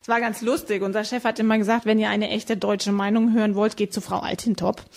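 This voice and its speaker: A woman's voice: mid-range voice, flat delivery, a little fast, gravelly. A woman giving a documentary interview, cheerful and with frequent hesitant fillers.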